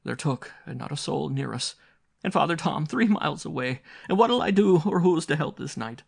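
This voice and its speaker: A man's voice: mid-range voice, moderate pace, gravelly, a bit bright, husky, pitched above the performer's own voice. A man reading aloud voices a frantic older Irish peasant widow, wailing and pleading in an Irish accent.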